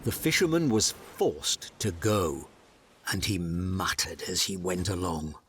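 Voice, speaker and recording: A man's voice: deep voice, expressive, unhurried, fairly bright tone, a little hiss or hum. A man narrating a scripted fairy tale as a storyteller.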